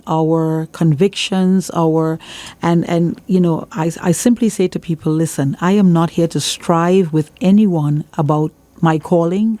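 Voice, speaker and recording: A woman's voice: fairly low pitch, warm tone, no echo. A woman with a Trinidadian accent speaking as a church leader in an interview, emphatic and repeating phrases for emphasis like a preacher giving testimony.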